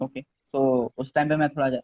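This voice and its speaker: A young man as an interview guest, telling stories in a relaxed, casual way. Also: mid-range voice, moderate pace, no echo. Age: young adult. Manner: relaxed, storytelling, mixes in English phrases. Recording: dry phone-like audio.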